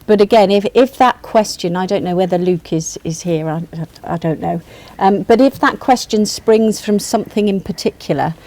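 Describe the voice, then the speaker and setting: A woman on the university's senior team answering student questions on a panel, measured and reassuring, often restarting her sentences. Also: mid-range voice, warm tone.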